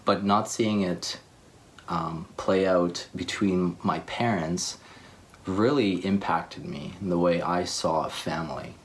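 A young man with a Ukrainian accent talking casually about faith on a living room couch, pausing and repeating words as he searches for them. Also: low-pitched, a little hiss or hum. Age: young adult. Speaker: church speaker.

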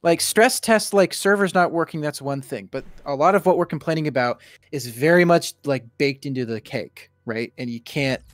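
A man's voice: a little high, moderate pace, hardly any pauses, dry recording. A young man co-hosting a game stream, chatting casually with an emphatic, complaining manner. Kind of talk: casual gaming chat.

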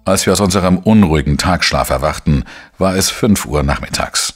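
A male actor playing a shrewd, dry investigative journalist who talks in a measured, explanatory way in a crime radio play. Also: low-pitched, slightly rough, big-room sound, soft background music. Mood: calm narrating. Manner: measured, explanatory, matter-of-fact. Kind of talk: German crime radio play, narration and dialogue.

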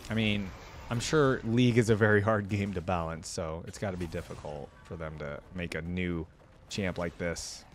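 A man's voice: deep voice, fairly even intonation, moderate pace. A young man giving casual, informal gameplay reaction commentary, musing aloud into a close microphone. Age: young adult. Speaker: reaction video host. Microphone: close desktop microphone with headset. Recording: clear background noise.

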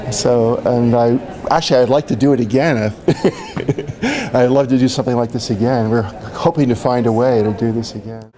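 A man's voice: medium pitch, fairly even intonation, moderate pace, dark tone. An older man recalling his volunteer training, enthusiastic and laughing. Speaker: interviewee. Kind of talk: casual personal recollection. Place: indoor conference venue. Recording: crowd noise behind. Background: crowd chatter.

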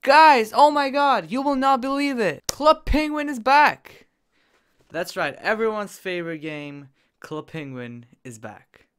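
A young man casually commenting while he plays a game on his computer, excitable and jokey, jumping from loud bursts to quiet mumbles. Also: extremely high pitch, a little slow, heavy background noise. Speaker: gaming YouTuber.

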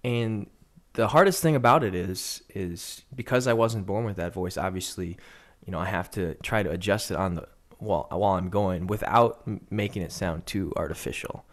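A man's voice: deep voice, moderate pace. A young man and sports broadcaster, talking through a video call interview in a thoughtful way with many fillers. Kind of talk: casual interview chat. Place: home room. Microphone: studio condenser mic on video call.

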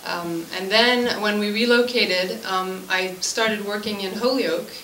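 A woman's voice: mid-range voice, fairly even intonation, unhurried, a bit bright. A woman in her forties from Switzerland, a native French speaker, informally introducing herself as a language teacher at a meeting. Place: school room at a committee meeting, indoors. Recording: echoey room, distant mic, background noise.

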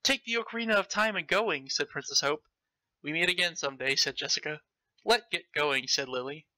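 Bright, sharp-voiced man reading aloud theatrically. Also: on the high side, fairly quick, dry recording, poor audio. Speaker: host reading fan fiction aloud. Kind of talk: comedic dramatic read-aloud with commentary.

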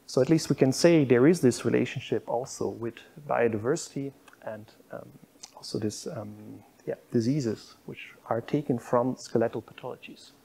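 A man's voice: medium pitch, moderate pace, creaky, dark tone, slightly rough. A middle-aged man giving a university public lecture in a lecture room, explaining slides with frequent fillers. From Belgium.

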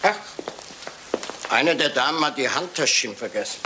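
Shrewd, animated detective acted by a man. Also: mid-range voice, moderate pace, noisy background, natural voice. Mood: probing. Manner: precise, probing, sprinkles in French words. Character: fastidious, shrewd Belgian male detective. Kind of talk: German radio crime play acting.

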